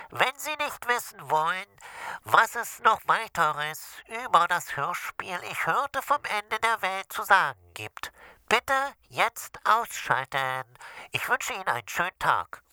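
A female announcer delivers a formal scripted notice, politely and at a slow pace. Her voice is bright and crisp-edged, recorded very dry. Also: medium pitch, no echo, poor audio.

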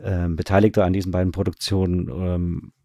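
A man interviewed as a radio drama director, talking casually with a halting, self-correcting delivery. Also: low-pitched, level tone, gravelly, dark tone, no room sound, clean studio sound.

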